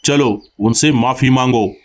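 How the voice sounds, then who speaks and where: A man's voice: medium pitch, measured pace, natural voice. A man voicing an aging, principled bird king in a cartoon story, stern and responsible in manner. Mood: reproving. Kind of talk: Hindi cartoon story acting.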